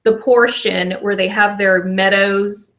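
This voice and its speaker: Woman co-host, relaxed storyteller, mid-pitched. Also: takes it slowly. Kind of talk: casual chat.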